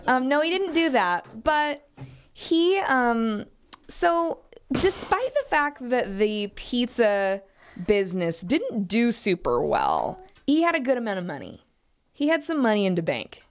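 A woman's voice: on the high side, measured pace, smooth. A woman co-hosting a podcast, telling an anecdote in a casual, chatty way and acting out bits of dialogue. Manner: animated, acts out dialogue, frequent hedging. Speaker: podcast co-host telling a workplace story.

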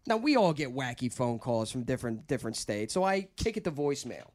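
A man hosting a casual podcast, telling a long story in a rambling, animated way. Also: brisk. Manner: rambling, animated storyteller who breaks into a squeaky put-on voice. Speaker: podcast host.

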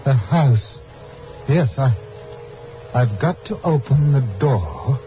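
An actor playing a tormented amnesiac man in a 1950s radio drama, speaking in a halting, dazed way. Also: mid-range voice, lively intonation. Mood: entranced, halting. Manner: halting, dazed, trance-like delivery. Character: tormented amnesiac mentalist reliving a lost love, man. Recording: muffled old radio broadcast, music behind.

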